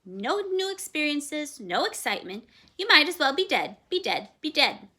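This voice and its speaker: A woman's voice: sits high, very animated, normal speed, pushed and bright, pitched above the performer's own voice, full character voice. A woman voicing a bossy talking green parrot who scolds sharply and repeats the ends of her phrases two or three times. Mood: lecturing. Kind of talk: storybook read-aloud.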